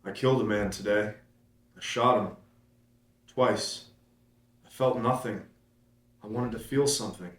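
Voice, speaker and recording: A low male voice from an actor at a table read plays a man gone numb after taking a life. He speaks gravely and flatly, somewhat far from the mic. An echo hangs in the room. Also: unhurried, long pauses. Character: numb man, Ethan, who killed someone. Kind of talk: screenplay table reading.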